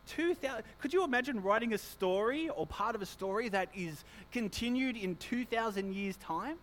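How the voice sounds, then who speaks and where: Loud, high-voiced pastor. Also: male voice, animated, normal speed, a little hiss or hum. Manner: chatty, self-mocking, joking with the congregation. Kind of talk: church notices and sermon. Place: church hall. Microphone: handheld or stand microphone.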